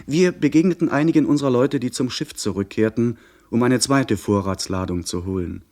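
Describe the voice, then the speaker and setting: A man playing an earnest young gentleman who narrates his pirate adventure in measured, literary German radio-drama style. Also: on the low side, close to an everyday voice.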